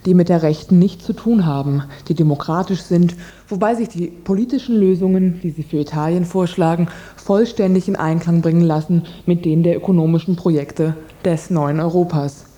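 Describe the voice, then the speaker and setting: A woman's voice: on the low side, normal speed, hardly any pauses, mellow. A woman presenting a scripted radio report and reading translated interview statements.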